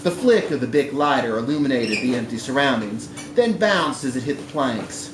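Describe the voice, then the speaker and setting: A man reading literary fiction aloud to a live audience in a bar, with an expressive, theatrical delivery. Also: medium pitch, normal speed. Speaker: story reader at a live literary event. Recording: echoey live room recording with background noise.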